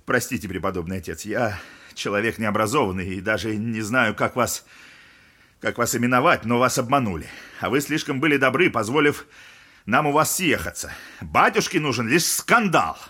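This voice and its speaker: An actor playing a proud, wounded, hot-tempered young son in an audio drama, impassioned and indignant. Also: male voice, medium pitch, animated, moderate pace, pushed and bright, breathy edge. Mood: bitter restraint. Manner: impassioned, indignant, swinging into outbursts. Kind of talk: Russian classic audio drama acting.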